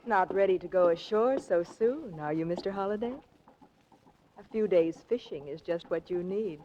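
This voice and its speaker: A woman's voice: fairly low pitch, normal speed, dark tone, slight background noise, close to an everyday voice. A woman playing a cool, slyly mocking young schemer, composed and imperious, in a 1940s radio drama. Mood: teasing. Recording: muffled 1940s radio recording.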